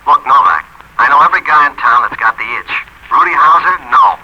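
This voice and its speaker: An actor playing a slangy, wry racetrack tipster answering curtly in a 1940s radio noir. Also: male voice, mid-range voice, lively intonation, fairly quick, natural voice. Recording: dull, faintly noisy old radio recording.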